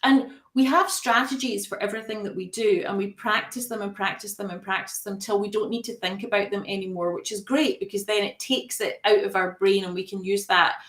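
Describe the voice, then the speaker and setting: A woman coach talks as an interview guest, calm and measured, reaching for everyday analogies. Her voice is recorded in an echoey room. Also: medium pitch.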